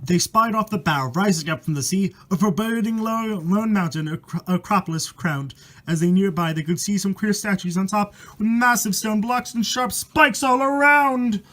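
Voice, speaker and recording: A man's voice: high voice, normal speed, a bit bright. A man reading a rhyming horror story aloud in a dramatic, irreverent way, stumbling over words and swearing in casual asides. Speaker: amateur storyteller and host.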